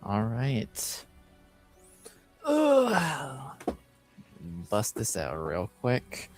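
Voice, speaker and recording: Slow-paced man as game master. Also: medium pitch, huge pitch swings, a little mellow, soft background music. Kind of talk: casual, improvised game mastering.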